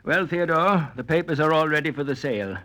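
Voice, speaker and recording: An actor playing an older, formal and probing small-town attorney, stiffly courteous, in 1940s radio style. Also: male voice, fairly high pitch, brisk, sharp tone.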